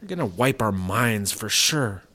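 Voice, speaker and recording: An uptight, practical worrier from a comedy radio play. His voice sits fairly low and sounds wary and exasperated. Faint noise sits behind the dialogue. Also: big pitch swings, unhurried, pushed and bright, exaggerated voice. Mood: worried. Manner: wary, exasperated straight man. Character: uptight, anxious, practical male partner prone to paranoia. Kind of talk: sci-fi comedy audio drama acting.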